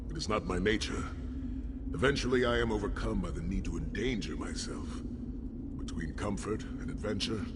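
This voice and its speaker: An actor playing a noble, world-weary warrior adventurer in a video game, speaking in a measured, formal and earnest way. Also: male voice, deep voice, very noisy, natural voice. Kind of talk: solemn video game voice acting. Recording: long hall reverb, loud music behind.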